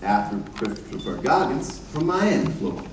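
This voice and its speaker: A man giving a formal, measured scripted narration on stage, recorded from a distance in a very echoey chapel. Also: medium pitch, sing-song. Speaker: readers theater narrator and singer. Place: large echoey indoor chapel, live performance. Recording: very echoey hall, distant mic, faint noise.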